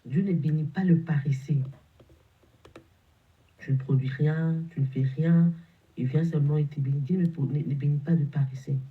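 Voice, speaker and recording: A man with a head cold preaches in a fairly high voice, repeating phrases and tripping over his words for emphasis. The sound is a little dull and lo-fi. His voice is congested. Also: fairly even intonation, normal speed, long pauses. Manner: repetitive, stumbling, emphatic preaching. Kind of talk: morning sermon exhortation.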